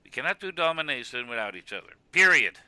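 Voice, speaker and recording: A man plays an earnest cartoon co-commentator, loud and heartfelt. His voice is very bright and forceful, cleanly resonant and swinging widely in pitch as he makes his emphatic speeches. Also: sing-song, fast talker, clear, resonant tone, no room sound. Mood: earnest, consoling. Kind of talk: animated web cartoon dialogue.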